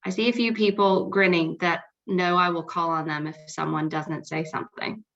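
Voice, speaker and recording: A woman's voice: on the low side, steady tone, moderate pace, fairly bright tone. A woman hosting an online meeting, upbeat and coaxing people to speak up. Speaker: meeting host. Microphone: video call. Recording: dull lo-fi call audio.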